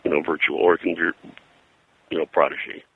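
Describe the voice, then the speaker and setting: A man's voice: low-pitched, flat delivery, a little fast, a bit bright, slightly rough, no echo, low-quality recording. An older American man reminiscing casually as an interview guest, in a rambling, anecdotal way that often halts mid-sentence. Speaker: interview guest, comics colorist and radio historian.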